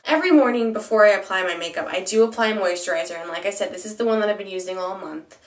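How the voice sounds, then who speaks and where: A young woman talks through her favorite products in a relaxed, chatty way, her voice stuffy and nasal from a cold. She trips over words and fills gaps with ums. The sound is roomy and a little distant, as if the mic sits across the room. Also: mid-range voice, fast. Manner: chatty, with frequent ums and restarts. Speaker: beauty vlogger. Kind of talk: casual product review.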